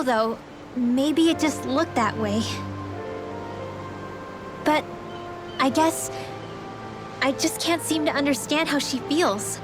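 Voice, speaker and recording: A woman playing a soft-hearted, troubled teenage girl in a fantasy video game, hesitant and introspective. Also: sits high. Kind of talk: video game cutscene dubbing.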